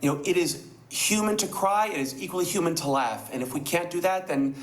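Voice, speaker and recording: A man's voice: fairly high pitch, animated, brisk. An American man in his forties, a comedian, talking casually and earnestly as an interview guest on a video call. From United States. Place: small indoor room.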